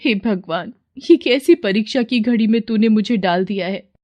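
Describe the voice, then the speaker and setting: A woman voicing a higher-pitched, meek young daughter-in-law. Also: normal speed, a little mellow, a little hiss or hum, natural voice. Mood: despairing. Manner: respectful, plaintive.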